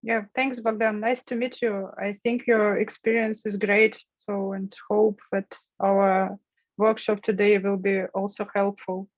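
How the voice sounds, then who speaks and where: A woman's voice: mid-range voice, flat delivery, a little slow, dry recording. Encouraging woman hosting a video call. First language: Russian. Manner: friendly, encouraging, prompting participants. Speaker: workshop host. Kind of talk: online workshop moderating. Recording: dull lo-fi call audio.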